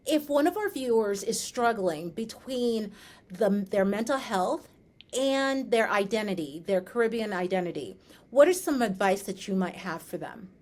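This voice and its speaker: A woman's voice: a little high, big pitch swings, unhurried. A woman born in the United States hosting a podcast interview, asking questions and affirming her guest with pride. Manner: affirming, proud, asks warm leading questions. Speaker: podcast host.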